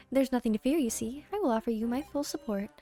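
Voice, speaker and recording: Woman acting a creepy talking doll, high voice, smug, over-polite, theatrical, horror game, faint music. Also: expressive, normal speed, warm tone. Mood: mock-polite. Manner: courteous, theatrical, giggly. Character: eerie revived talking doll, polite, smug, gleefully unsettling.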